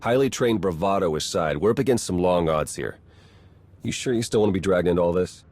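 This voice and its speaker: An actor playing a wry, confident spy in a video game, talking in a dry, casual briefing manner. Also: male voice, deep voice, animated, a little fast. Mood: wry, easygoing. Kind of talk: video game dialogue acting. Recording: loud soundtrack music under dialogue.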